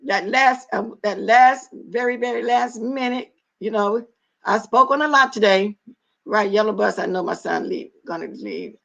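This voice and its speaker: A middle-aged woman with a raspy voice hosting a casual livestream, talking bluntly and straight to her chat. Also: on the high side, a bit bright. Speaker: livestream host. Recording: dull lo-fi livestream audio.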